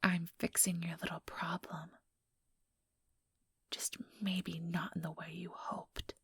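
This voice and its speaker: A woman's voice: fairly low pitch, level tone, takes it slowly, dry recording, heavily acted. A woman playing an ambitious, coldly menacing female vampire in a whispered ASMR role-play, talking in a taunting, mock-sweet way close to the microphone. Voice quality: soft, breathy whisper. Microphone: close, dry microphone.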